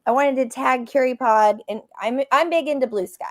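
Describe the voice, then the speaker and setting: A woman's voice: high voice, normal speed, no echo, clean studio sound. A woman hosting a casual interview over a video call, reacting with enthusiasm. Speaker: host, interviewer. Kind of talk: casual live-stream interview.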